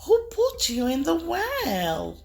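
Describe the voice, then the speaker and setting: A woman voicing a kind, caring female fairy in a storybook, speaking high and gentle. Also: huge pitch swings, slow, creaky, soft background music, exaggerated voice.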